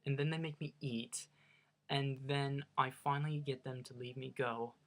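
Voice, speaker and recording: A man's voice: medium pitch, steady tone, normal speed. Young man with a slight Norwegian accent. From United States. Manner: chatty, self-mocking, hesitant restarts. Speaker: vlogger. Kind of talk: casual storytelling.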